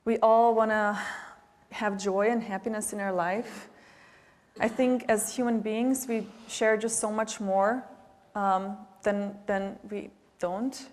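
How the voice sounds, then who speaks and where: A woman's voice: medium pitch, fairly even intonation, slow pace. Bosnian-accented woman in an echoing hall. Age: 40s. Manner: heartfelt, hesitant, many fillers and restarts. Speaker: panelist, nonprofit director. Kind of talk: earnest public remarks. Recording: reverberant event hall.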